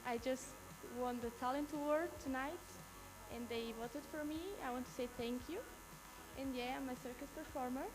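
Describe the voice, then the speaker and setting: A woman's voice: high voice, fairly even intonation, moderate pace, a crowd in the background, low-quality recording. A woman in her twenties from Portugal, earnestly answering a fan question and apologizing for her English. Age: 20s. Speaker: pageant contestant.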